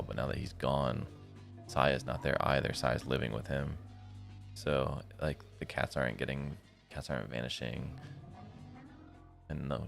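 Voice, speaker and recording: An extremely low-voiced man with a heavy fry in his delivery, streaming a visual novel. He moves between narrating the text and chatting informally, with music playing behind him. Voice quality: deep, gravelly. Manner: slangy, informal asides. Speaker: live streamer. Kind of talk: reading a visual novel aloud with casual commentary.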